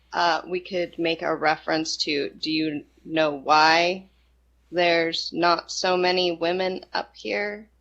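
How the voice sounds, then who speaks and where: A woman on a podcast panel who puts forward a story idea in a slow, suggestive way. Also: low voice, fairly bright tone. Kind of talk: casual panel discussion. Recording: dull lo-fi audio.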